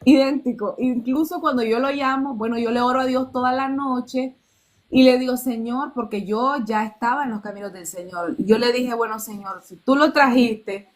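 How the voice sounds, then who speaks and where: A woman's voice: on the high side, normal speed. A Nicaraguan woman in her thirties, telling a personal testimony in an earnest way and acting out the dialogue she quotes. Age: 30s. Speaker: guest.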